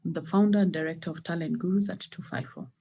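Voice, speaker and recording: A woman's voice: on the low side, steady tone, fast talker, creaky, dark tone, slightly rough. A middle-aged woman from Kenya, a company founder, speaking earnestly with some hesitations.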